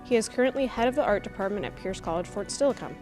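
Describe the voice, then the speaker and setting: A woman's voice: mid-range voice, lively intonation, fast. Woman reading announcements over music. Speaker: campus TV program announcer. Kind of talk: scripted announcement narration.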